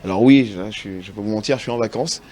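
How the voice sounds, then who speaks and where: A man from France speaking as a TV studio guest, earnest and halting, often restarting his sentences. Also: lowish voice, a little mellow. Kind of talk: casual TV interview answers. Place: TV studio set.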